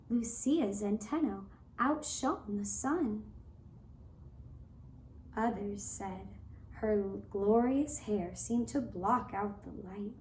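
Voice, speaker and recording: A woman narrating a folk tale as a puppet show storyteller, with measured, deliberate phrasing. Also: medium pitch, darkish tone. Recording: roomy echo, faint background noise.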